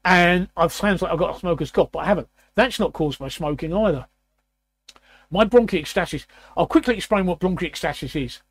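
Croaky, raspy man in his sixties. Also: high-pitched, expressive, fairly quick. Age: 60s. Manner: repeats words, frequent throat clearing. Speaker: host. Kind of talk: casual chat.

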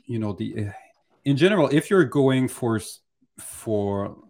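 A man giving unscripted advice as a mentor in a Q&A, speaking in a hesitant, halting way as he thinks aloud. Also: mid-range voice, expressive, a little mellow.

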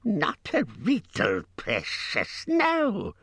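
A man voicing a wretched, spiteful cave creature for a storybook, with a strangled, hissing voice and drawn-out, repetitive muttering. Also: sits high, huge pitch swings, a bit bright, slightly rough, dry recording, studio quality, pitched above the performer's own voice, exaggerated voice. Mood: suspicious, hissing. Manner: drawn-out, repetitive, muttering to himself in odd grammar.

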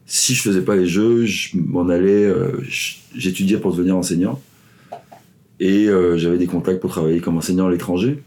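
Guy in his 40s, swim coach guest, really low mellow voice, lots of euh and restarts. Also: moderate pace. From Ivory Coast, raised in Paris. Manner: rambling, many fillers and restarts. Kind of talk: casual interview chat.

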